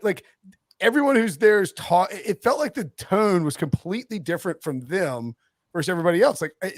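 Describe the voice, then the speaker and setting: Man, slightly high pitch, sports host, casual joking chat, halting excitable delivery, dry sound. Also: dry recording.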